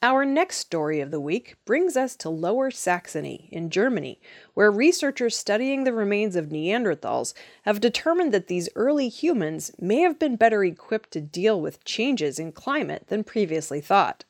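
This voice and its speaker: A woman reading scripted news in a measured, formal delivery. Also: medium pitch, sing-song, dry recording, studio quality. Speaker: news reader.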